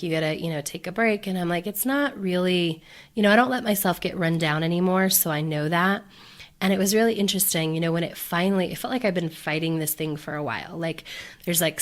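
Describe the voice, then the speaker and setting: A woman hosting her own podcast in a chatty, upbeat way, full of fillers and restarts, her voice deepened by a cold. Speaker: podcast host. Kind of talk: casual solo podcast talk.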